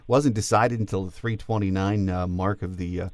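A man's voice: low-pitched, steady tone, moderate pace, no echo, studio quality. A man hosting a sports podcast, talking casually about games. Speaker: podcast host.

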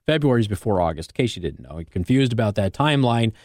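A man's voice: on the low side, big pitch swings, fast talker, darkish tone, no echo, studio quality. An American man hosting talk radio, delivering an opinionated monologue with emphatic stress on key words. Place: radio studio.

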